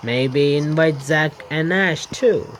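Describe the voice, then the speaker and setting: A man's voice: on the high side, slow, slight background noise. A man hosting a gaming video, reading a visual novel aloud in an informal, stumbling way with self-corrections. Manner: stumbling, informal reader who corrects himself. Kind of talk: gameplay read-aloud narration with asides. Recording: music clearly behind the voice. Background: game background music.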